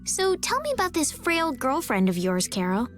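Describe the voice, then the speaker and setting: Naive polite noble girl in a game, high n lively, sincere, eager. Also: moderate pace, soft background music. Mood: eagerly curious. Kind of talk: English video game dub acting.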